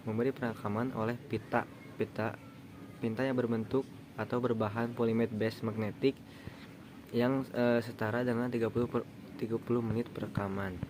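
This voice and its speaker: A young man gives a student presentation in a lowish, very flat voice. He explains haltingly and slips in colloquial asides, with clear background noise on the recording. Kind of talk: informal slide presentation. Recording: clearly noisy room recording.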